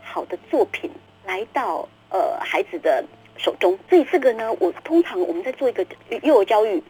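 A woman's voice: medium pitch, sing-song, normal speed, mellow, breathy edge, low-quality recording. A middle-aged woman with a Taiwanese Mandarin accent, explaining things emphatically as the guest author in a radio interview, repeating words and acting out questions. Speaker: guest, children's book author.

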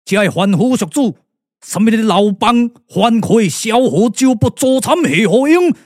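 A high-pitched, indignant old immortal sage. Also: male voice, animated, unhurried, background noise. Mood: baffled, indignant. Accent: Taiwanese. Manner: theatrical, exclamatory. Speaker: puppet theatre narrator and voice performer. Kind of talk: dramatic Taiwanese glove puppetry radio play. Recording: music under the voice.

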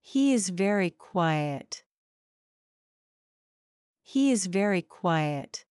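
A woman reading English example sentences slowly and evenly for learners to repeat. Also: lowish voice, expressive, darkish tone, clear, resonant tone, no room sound, clean studio sound. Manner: careful, evenly paced repetition.

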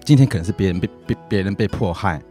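A man's voice: low-pitched, fast talker, dark tone. A man with a Taiwanese Mandarin accent in a casual interview as an activist guest, speaking earnestly and repeating himself for emphasis. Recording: music playing behind the voice.

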